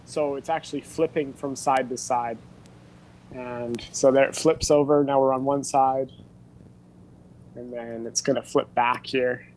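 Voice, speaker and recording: A man's voice: medium pitch, steady tone, measured pace, a little mellow, a little hiss or hum. A man in his thirties giving an informal hands-on tutorial, talking casually and thinking aloud as he demonstrates. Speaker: workshop instructor. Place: indoor home studio room with tape gear.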